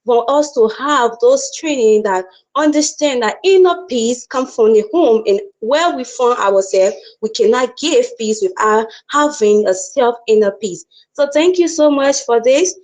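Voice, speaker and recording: Young liberian lady, voice a bit high, giving earnest feedback on a call. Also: lively intonation, a little slow, few pauses, a little mellow, poor audio. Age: young adult. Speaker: training participant. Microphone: video call microphone.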